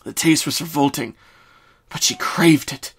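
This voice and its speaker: A man's voice: on the high side, unhurried, slightly rough. Male narrator for a dramatic fan-fiction style story reading.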